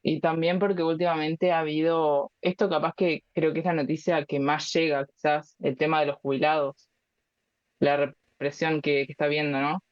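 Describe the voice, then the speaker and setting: A woman in her twenties with an Argentine Spanish accent, a guest speaking casually and thoughtfully, with many pauses and fillers. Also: sits low, flat delivery, no room sound, highs cut off. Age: 20s. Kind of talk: casual interview chat.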